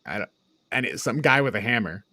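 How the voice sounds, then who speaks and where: A man's voice: medium pitch, expressive, fairly quick, bright, forceful tone, no echo. A man co-hosting a casual, informal review discussion, recapping things in an offhand way. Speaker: podcast co-host reviewing films.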